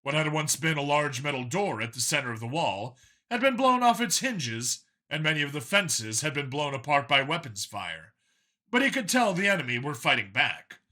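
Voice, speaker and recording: A man narrates a scripted story in a dramatic audiobook style. His voice sits a little high and has a bright, crisp edge. The intonation is lively and varied. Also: normal speed.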